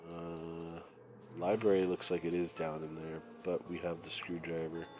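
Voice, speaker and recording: A man commentating casually while playing a prison game, his voice raspy and nasal from a cold, thinking aloud as he plays. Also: low-pitched, level tone, unhurried. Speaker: gameplay commentator. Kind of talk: casual let's-play commentary. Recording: faint game music behind.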